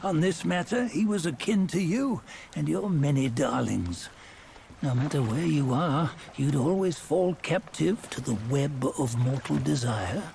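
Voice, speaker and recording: An actor playing a grave, judgmental guide in a fantasy game, speaking in solemn, archaic phrases with a cryptic, mocking edge. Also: male voice, a little high, expressive, unhurried, creaky, a little mellow, a little hiss or hum. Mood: grave, knowing. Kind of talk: fantasy game cutscene acting.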